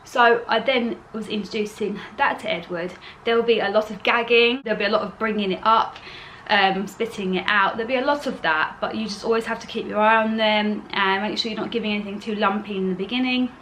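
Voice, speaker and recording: Chatty mum with a sore throat. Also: female voice, medium pitch, steady tone, brisk, a bit bright, a little hiss or hum, poor audio. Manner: chatty, repeats herself, earnest advice. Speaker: parenting vlogger. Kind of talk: casual advice vlog to camera.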